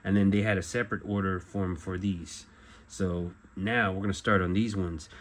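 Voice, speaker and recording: A middle-aged man giving a casual show-and-tell as a collector, talking in a halting, rambling way and often correcting himself. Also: low-pitched, steady tone. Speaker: collector presenting memorabilia. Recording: clear background noise.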